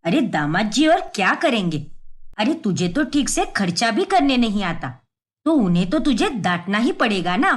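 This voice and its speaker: A woman's voice: mid-range voice, very animated, normal speed, pitched below the performer's own voice, lightly acted. A woman voicing a blunt, unyielding middle-aged mother who lectures in an exasperated way, for a story. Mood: scolding.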